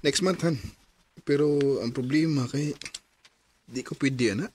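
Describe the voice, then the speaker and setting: An actor with a Cebuano Filipino accent playing a soft-spoken, amorous young husband in a radio drama. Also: male voice, medium pitch, measured pace, breathy edge. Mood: apologetic.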